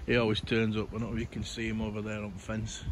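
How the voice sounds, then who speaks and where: Man, middle-aged, hoarse, rambling gardening vlogger, noisy outdoor recording. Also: on the low side, completely flat delivery, fast. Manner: chatty, rambling, tired. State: croaky voice, coughing. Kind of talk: casual garden update to camera.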